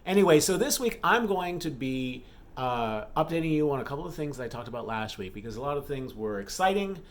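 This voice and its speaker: A man chatting casually to his vlog audience as an author, halting and repeating phrases for emphasis. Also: medium pitch, animated. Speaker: romance author and vlogger.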